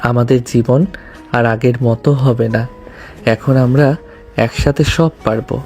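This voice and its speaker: A man playing a tender, devoted and sheepish young groom in an audio love story, with a mellow voice. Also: mid-range voice, steady tone, slow, faint music behind, close to an everyday voice.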